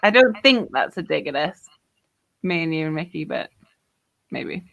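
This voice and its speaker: A woman's voice: deep voice, sing-song, normal speed, vocal fry, fairly bright tone, dull audio. A woman with a posh Geordie accent hosting a football podcast, chatting casually and reading out viewer comments with many fillers. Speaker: podcast host.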